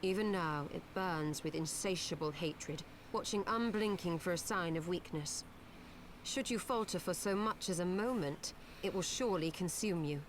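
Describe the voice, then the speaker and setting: An actress voicing an earnest, grave woman in a fantasy game, speaking in formal, measured lines. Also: fairly low pitch, close to an everyday voice. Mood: grave warning. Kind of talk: dramatic game voice acting. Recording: faintly noisy game stream capture.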